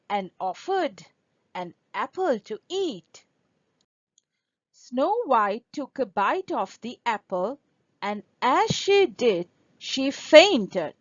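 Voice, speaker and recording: A woman narrating a scripted fairy tale for English learners in a deliberate, expressive storybook manner. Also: fairly high pitch, no echo, lo-fi sound.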